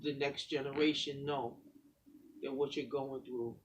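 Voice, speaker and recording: A man, a pastor and poet, talking in an animated, preacherly way in a casual faith conversation. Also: mid-range voice, a little slow, recorded from a distance, low-quality recording.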